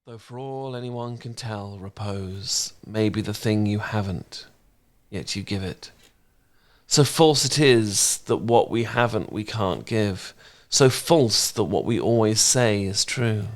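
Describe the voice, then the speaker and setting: A man playing a smitten, bashful housemate in an intimate romance audio roleplay, speaking gently and sometimes haltingly. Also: fairly low pitch. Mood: measured, reflective reading. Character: smitten, bashful male housemate, tender poetry reader.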